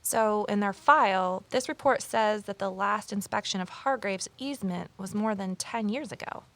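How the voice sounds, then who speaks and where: An actress playing a curious, eager former journalist in an audio drama, with a conversational, inquisitive delivery. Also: female voice, medium pitch, moderate pace, natural voice. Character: curious female ex-journalist, eager new conservation worker. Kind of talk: audio drama dialogue and first-person narration. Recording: clear background noise, outdoor ambience.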